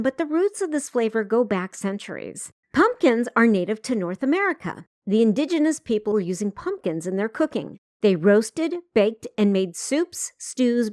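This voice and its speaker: A woman's voice: medium pitch, no room sound, studio quality. A woman hosting an educational podcast, reading scripted narration with wry, playful asides. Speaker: podcast host.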